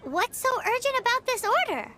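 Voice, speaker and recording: A woman's voice: very high-pitched, sing-song, moderate pace, over music. A woman voicing a tiny, chirpy fairy companion girl who speaks bluntly and refers to herself by name.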